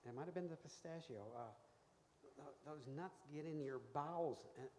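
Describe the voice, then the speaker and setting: A man's voice: a little high, moderate pace, darkish tone, lightly acted. A man playing a cocky, dim, laid-back braggart of a pie taster in a live radio comedy. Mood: queasy, slow-witted. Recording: noisy, reverberant hall stage recording.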